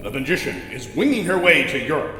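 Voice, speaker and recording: A man's voice: on the high side, very animated, fast. A man narrating a pulp adventure audio drama in an emphatic, theatrical style. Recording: reverberant voice over music.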